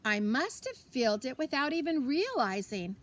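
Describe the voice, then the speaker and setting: A woman's voice: high voice, big pitch swings, moderate pace. A woman voicing a cheerful, forgetful Mexican grandmother in a children's storybook, exclaiming and musing aloud with Spanish phrases mixed in. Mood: startled, puzzled. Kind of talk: children's storybook character reading.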